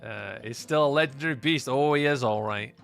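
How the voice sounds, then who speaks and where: Scottish male streamer, gravelly and bright, chatty, rambling, lots of ums. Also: medium pitch, a little fast. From Scotland. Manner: rambling and chatty, many fillers and restarts. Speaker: live streamer. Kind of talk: casual chat with live chat while gaming.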